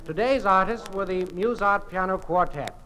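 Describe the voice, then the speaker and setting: An actor playing a genial, mild museum concert organizer with a genteel manner in an old-time radio drama. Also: male voice, high voice, normal speed, sharp tone. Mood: formal, announcing. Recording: noisy old radio transcription.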